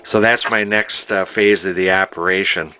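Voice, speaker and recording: A man giving an informal hands-on repair demonstration in a halting way, thinking aloud as he explains. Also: low-pitched, fairly even intonation, pushed and bright, smooth, low-quality recording. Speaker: hobbyist radio repairer, presenter. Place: indoor workshop at a workbench.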